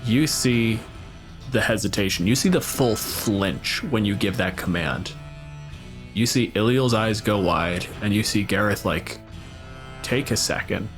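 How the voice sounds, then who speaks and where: A man's voice: fairly low pitch, animated, measured pace, faint music behind. A man narrating and bantering as game master, with a sweary, teasing manner. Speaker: game master and podcast host.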